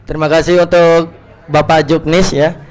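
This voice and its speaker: A man's voice: on the high side, little pitch movement. A man moderating a school quiz competition, playful and joking, stretching out his introductions. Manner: playful, joking, with drawn-out flourishes. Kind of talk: lively event emceeing. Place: event hall at an Islamic boarding school.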